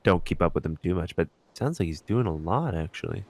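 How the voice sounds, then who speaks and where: Low-voiced man in casual podcast chat. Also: expressive, fairly quick, gravelly, a little mellow, dry recording. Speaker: podcast co-host.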